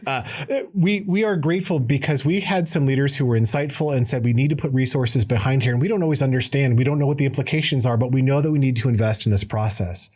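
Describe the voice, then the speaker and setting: A middle-aged man chats on a podcast in an earnest, self-deprecating way. He rambles a little and restarts his sentences. His pitch is ordinary for a man. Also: fast, hardly any pauses, darkish tone. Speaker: podcast co-host. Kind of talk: casual conversational podcast. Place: studio.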